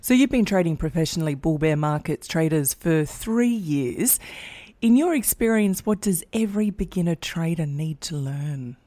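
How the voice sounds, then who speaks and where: A woman's voice: low voice, animated, normal speed, mellow, no echo. A woman hosting a talk podcast, asking direct questions to prompt her guest. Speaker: podcast host. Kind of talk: interviewing a guest.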